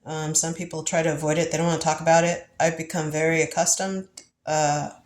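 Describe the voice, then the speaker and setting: A woman's voice: low-pitched, little pitch movement, brisk, reverberant. A woman in her fifties, congested from the flu, musing through a tarot reading in casual spiritual talk, laughing often and repeating herself. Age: 50s. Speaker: tarot reader.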